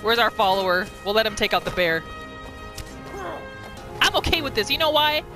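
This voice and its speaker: A woman hosting a gaming roleplay series, giving expressive playthrough commentary and telling her character's story. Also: on the high side, moderate pace, over music. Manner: expressive, exclamatory reactions.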